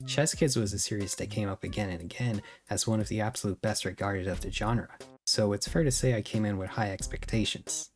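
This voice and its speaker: A man's voice: on the low side, darkish tone. Casual, opinionated man narrating. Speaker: video essayist. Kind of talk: video essay narration. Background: music.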